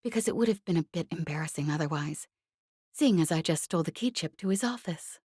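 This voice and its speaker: A woman reader plays a brash young woman pilot from a space adventure audiobook. She is cocky and sassy, clipping her colloquial phrases, in a mellow voice recorded very dry. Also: low-pitched, lively intonation, fast talker, breathy edge, dry recording. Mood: cocky, breezy. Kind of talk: audiobook character voice.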